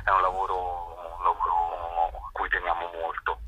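A man interviewed on radio, reflective and halting, with many false starts. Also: low-pitched, monotone, highs cut off, background noise. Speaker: author and actor interviewed as guest.

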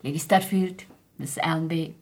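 An actress playing an older aristocratic hostess in a German radio play, gracious, amused and rambling. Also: female voice, deep voice, fairly even intonation, normal speed, darkish tone. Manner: rambling, gracious, chuckling, muddles her facts. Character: chatty, forgetful, amused aristocratic society hostess, older lady.

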